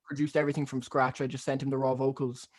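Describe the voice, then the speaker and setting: A young man from Ireland with a softened Cork accent leaning American, rambling casually through an interview with lots of fillers and restarts. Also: mid-range voice, little pitch movement, fast. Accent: neutral Cork Irish with American influence. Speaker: musician guest.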